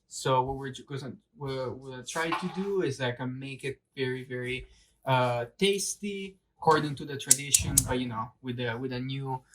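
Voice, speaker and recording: A man's voice: medium pitch, noisy background. A man with an Italian accent, co-hosting a casual cooking demonstration in a kitchen, often repeating words as he hunts for the right one. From Italy. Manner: halting, repeats words while searching for them. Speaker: cooking show co-host.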